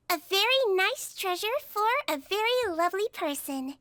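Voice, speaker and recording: A woman's voice: extremely high pitch, expressive, measured pace, sharp tone, no room sound, heavily acted. A woman voicing a perky, bubbly young girl character in a video game. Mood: sweet, playful.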